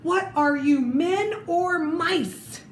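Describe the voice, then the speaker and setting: A woman voicing a brave, bossy male mouse commanding officer in a children's storybook, squeaking and shouting orders. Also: extremely slow, full character voice. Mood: indignant. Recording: roomy echo, clear background noise.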